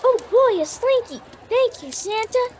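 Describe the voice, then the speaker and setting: A woman as a high-pitched, eager little boy. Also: expressive, unhurried, a little mellow. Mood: thrilled, eager. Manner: polite, eager. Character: polite, wide-eyed excited little boy. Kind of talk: radio play acting.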